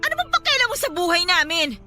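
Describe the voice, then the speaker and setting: A woman's voice: extremely high pitch, big pitch swings, fast talker, sharp tone, heavily acted. A woman playing a desperate, angry young mother in a Filipino radio drama, heated, sarcastic and emphatic with a Filipino accent. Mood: exasperated, angry. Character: desperate, angry young mother confronting her cruel rival. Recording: dramatic music bed behind the voice.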